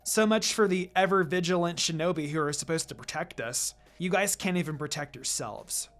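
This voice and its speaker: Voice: mid-range voice, normal speed, fairly bright tone, soft background music, lightly acted. Narrator voicing a sassy, irritated young girl. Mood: scolding. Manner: snappy, teasing, dismissive. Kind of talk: acted fan fiction dialogue.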